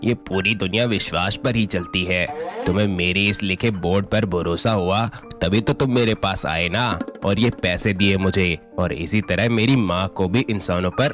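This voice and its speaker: A man voicing a gentle, trusting older street vendor, kindly and fatherly, in an animated moral story. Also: deep voice, moderate pace, lightly acted. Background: music.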